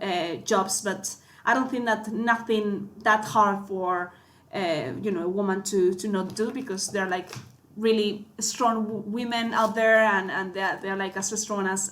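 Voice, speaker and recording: A woman's voice: mid-range voice, steady tone, a little slow, a bit bright, a little hiss or hum. A woman with a Spanish accent, a cinematographer guest chatting casually, full of fillers and restarts. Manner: hesitant, many fillers and restarts. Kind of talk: casual panel chat.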